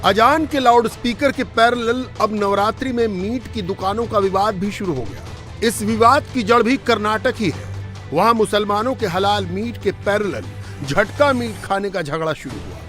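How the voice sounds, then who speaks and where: A man's voice: high-pitched, fairly bright tone. A man reading a scripted television news report as its narrator.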